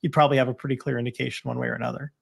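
A man's voice: mid-range voice, little pitch movement, rapid-fire, creaky, husky. A man co-hosting a sports show, discussing things in a casual, hedging way. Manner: hedging, conversational. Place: indoor room, video call.

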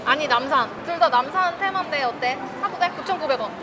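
High-pitched woman bantering on a vlog. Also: brisk, crowd noise. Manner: playful bantering. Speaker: vlog co-host, wife in a couple channel. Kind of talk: casual vlog chat. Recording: busy noisy urban public places.

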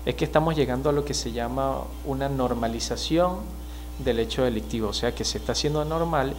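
A man in his thirties from Venezuela, with a Venezuelan accent, speaking as a criminologist guest in a studio interview, measured and explanatory with frequent hesitations. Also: mid-range voice, a little hiss or hum. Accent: Venezuelan Spanish. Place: small TV studio. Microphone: mic on desk stand.

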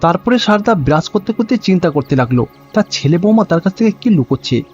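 A man with a high-pitched voice narrates a cartoon story in scripted storybook style. The sound is slightly dull and lo-fi, with music playing behind him. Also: sing-song, fast talker, darkish tone, husky. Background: background music.

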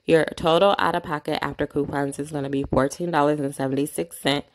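A woman's voice: sits low, steady tone, fairly quick. A woman vlogging a couponing haul at home, chatting casually as she reads off prices, coupons and totals into a handheld phone camera. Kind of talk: casual shopping haul walkthrough.

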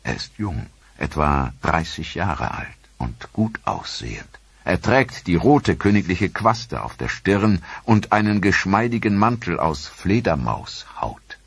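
A man narrating a history documentary in a dramatic, measured storytelling manner. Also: low voice, big pitch swings, gravelly, breathy edge, no room sound.

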